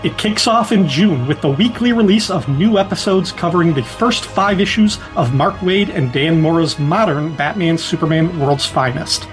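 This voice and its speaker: A man's voice: a little high, moderate pace, few pauses, low-quality recording. A man reading a scripted podcast promo over music.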